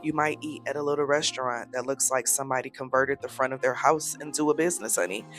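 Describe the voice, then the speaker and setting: A young American woman talking casually in a travel vlog, unhurried and reflective, laughing easily. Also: extremely low pitch, fairly even intonation, fairly bright tone, soft background music. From United States. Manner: laughs often, speaks slowly and hesitantly in Spanish. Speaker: vlogger.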